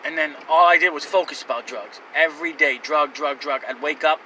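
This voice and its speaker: A young man in his twenties speaks his life story in a loose, rambling way, his voice very bright and a little high. He sits some distance from the mic, with faint outdoor and traffic noise behind him. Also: fairly quick. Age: 20s. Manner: rambling, candid, with fillers and restarts. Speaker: vlogger. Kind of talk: personal life story to camera.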